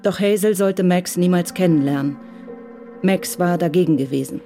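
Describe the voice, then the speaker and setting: A woman's voice: on the low side, normal speed, dark tone. Female drama narrator over music. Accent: Standard German. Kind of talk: scripted audio drama narration.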